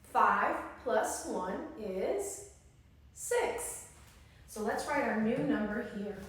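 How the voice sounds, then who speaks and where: A woman teacher leading an interactive children's lesson, encouraging and prompting with questions and pauses, recorded in an indoor room. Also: on the high side, lively intonation, a bit bright. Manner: encouraging, instructive, prompting listeners to respond. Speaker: early-grade classroom teacher leading circle time. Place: indoor room with strong echo. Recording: very echoey room, distant microphone.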